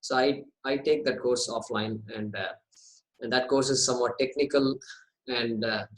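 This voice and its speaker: A man running an online course orientation from home, talking haltingly with many pauses and restarts over a video call. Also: mid-range voice, fairly even intonation, poor audio. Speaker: course organizer and host. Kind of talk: casual video call talk. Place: home in India.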